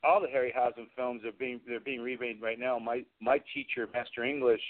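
A man of average pitch speaks over a narrow, phone-like connection on a radio interview. He is an enthusiastic guest who rambles through anecdotes in a conversational way. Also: steady tone, fairly quick. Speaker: guest, special effects artist and creature performer. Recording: tinny phone-like radio call.

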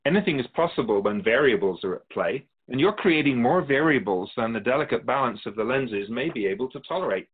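A serene otherworldly keeper of souls, voiced by a man, speaks in a measured, philosophical, gently lecturing manner for a radio drama. His voice sits in a middle pitch. The sound is narrow and tinny, like a phone line. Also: lively intonation, slightly rough. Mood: calm, wise. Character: otherworldly male soul-realm keeper, calm and wise.

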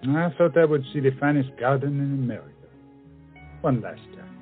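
An actor playing a proud, lovelorn officer and poet, speaking formally in a romantic audio drama. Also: male voice, mid-range voice, brisk, lightly acted. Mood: tender. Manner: formal, declamatory. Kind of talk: romantic comedy stage-style audio drama. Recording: muffled lo-fi audio, music underneath.

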